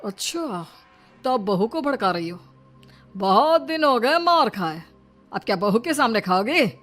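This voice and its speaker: A woman's voice: on the high side, big pitch swings, unhurried, a bit bright, faint music behind. A woman voicing a domineering older father-in-law in a story, scornful and emphatic in delivery. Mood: threatening. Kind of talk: dramatised Hindi moral story.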